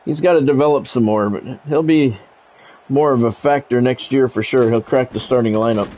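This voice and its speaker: A man's voice: mid-range voice, normal speed, dark tone, poor audio. A man doing live play-by-play of a football video game, mixed with blunt, salty, conversational asides. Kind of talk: live sports play-by-play commentary mixed with casual chat.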